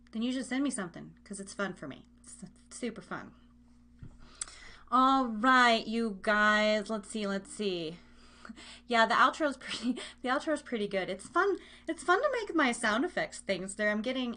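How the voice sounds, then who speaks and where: A woman's voice: on the high side, sharp tone. A woman hosting a live online radio show, chatting casually with listeners in a giggly, rambling, playful way, often repeating phrases.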